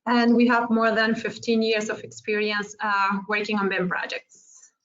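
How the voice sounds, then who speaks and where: A woman's voice: medium pitch, monotone, moderate pace, a bit bright. A woman from Argentina presenting a webinar, friendly and hesitant, with many fillers. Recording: muffled lo-fi online call audio.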